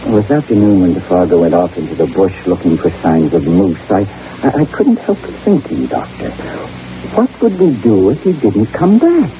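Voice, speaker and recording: A man's voice: deep voice, big pitch swings, normal speed, dark tone. An actor playing an anxious, earnest young man on a hunting trip, hesitant and troubled, in an old radio drama. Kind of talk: old-time radio drama acting with first-person narration. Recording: muffled, hissy vintage radio drama recording.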